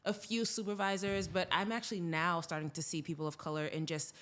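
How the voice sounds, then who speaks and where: Lower-pitched woman from Boston, casual storyteller. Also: flat delivery, brisk, fairly bright tone. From Boston, United States. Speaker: podcast guest, advertising professional. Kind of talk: casual conversational interview storytelling.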